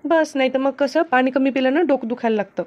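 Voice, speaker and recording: A woman's voice: high-pitched, quick pace, a little mellow, close to an everyday voice. A woman voicing a friendly, sensible village woman giving advice in a cartoon comedy, with a rural Maharashtrian accent, on a dull recording. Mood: advising. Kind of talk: animated cartoon comedy dialogue.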